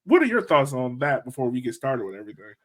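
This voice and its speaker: A man's voice: mid-range voice, big pitch swings, quick pace. American man hosting a casual sports podcast. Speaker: podcast host.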